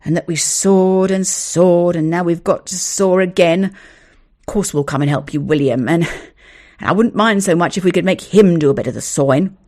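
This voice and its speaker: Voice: medium pitch, normal speed, darkish tone, lightly acted. A reader doing a righteous aggrieved schoolboy.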